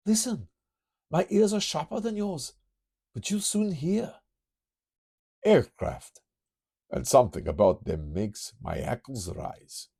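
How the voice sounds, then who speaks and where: A man's voice: a little high, big pitch swings, slow pace, vocal fry, pauses often, dark tone, breathy edge, voice pushed up, full character voice. A man voicing a grave, commanding male hero with a put-on German-Russian accent in a comic book reading. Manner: solemn, formal, stately.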